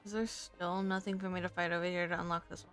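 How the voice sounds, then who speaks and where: A woman's voice: on the low side, level tone, fast, soft background music. A woman game streamer giving casual live commentary while she plays.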